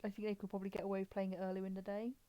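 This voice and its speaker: A woman's voice: medium pitch, monotone, very fast, mellow, no room sound. A woman hosting a live stream with casual, rambling, explanatory commentary.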